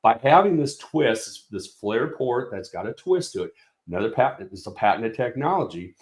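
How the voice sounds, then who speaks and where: A man's voice: mid-range voice, big pitch swings, normal speed, echoey room. A man representing a product company, explaining speaker design in a casual technical walkthrough with many fillers and restarts.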